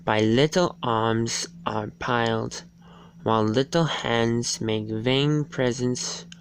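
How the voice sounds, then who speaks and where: An amateur reader delivers a dramatic storybook reading at a very slow pace, in a medium-pitched voice. The delivery is halting, with stumbles over words. Faint noise and hum sit behind it. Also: steady tone.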